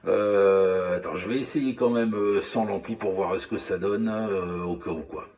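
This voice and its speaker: A man's voice: low voice, measured pace, a little mellow, distant mic, a little hiss or hum. A man chatting casually over two-way radio as a hobby operator, speaking hesitantly, repeating himself and often apologetic.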